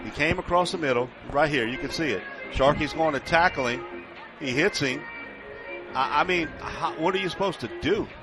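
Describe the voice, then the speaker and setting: A man's voice: fairly high pitch, normal speed, music in the background. A man giving conversational live football analysis as a radio color commentator at a stadium.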